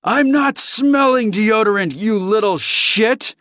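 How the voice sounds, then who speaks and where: A man's voice: sits high, slow pace, sharp tone, no echo, studio quality, voice pushed up, full character voice. A man voicing a large, hot-tempered lizard-like alien delegate in an audiobook, bellowing and snarling his demands. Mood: snarling rage.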